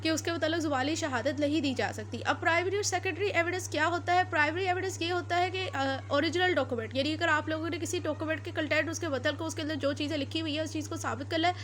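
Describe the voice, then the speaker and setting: A woman's voice: high voice, steady tone, fast talker, few pauses, a bit bright. A woman with a Pakistani accent giving an explanatory law lecture, repeating and rephrasing her points as she goes. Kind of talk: explanatory lecture mixing Urdu and English.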